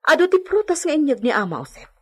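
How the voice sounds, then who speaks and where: An actress playing a gossipy, motherly household servant in a radio drama, fussing in a husky voice. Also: high-pitched, very animated, quick pace, a bit bright. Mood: fussing, consoling. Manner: nagging, confiding, fussing.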